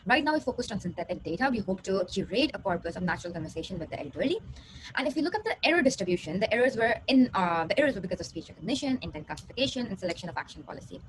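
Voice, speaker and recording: A woman's voice: mid-range voice, big pitch swings, very fast. A young woman, a PhD student, giving a rehearsed research presentation. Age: young adult. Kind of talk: rehearsed conference talk. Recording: clearly noisy recording.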